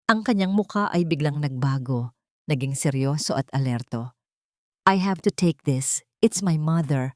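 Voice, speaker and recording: A woman narrating an audio drama in a measured, dramatic way, rising on tense moments. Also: low voice, a little mellow, dry recording, studio quality.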